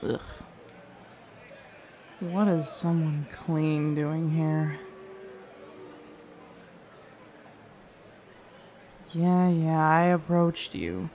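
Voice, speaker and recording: A woman playing a haughty, predatory young vampire on a drugged high in an audio roleplay, languid and taunting. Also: flat delivery, drawn-out words, warm tone, exaggerated voice. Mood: languid, dismissive. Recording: clear background noise and ambience.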